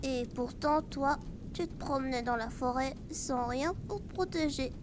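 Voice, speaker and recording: A woman playing a caring, gently teasing young friend in an audio drama, coaxing as she talks. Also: measured pace. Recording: rain and rumble behind the voice.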